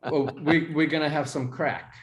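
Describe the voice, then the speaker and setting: A man's voice: fairly high pitch, steady tone, moderate pace. Joking male podcast host, echoey room. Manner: chatty, joking. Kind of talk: casual interview chat.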